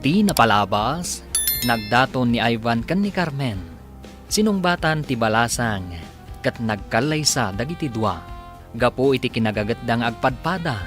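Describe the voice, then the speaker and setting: A man's voice: medium pitch, lively intonation, normal speed. A man narrating a radio drama as its announcer, reading a scripted introduction. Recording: music under the voice. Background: music.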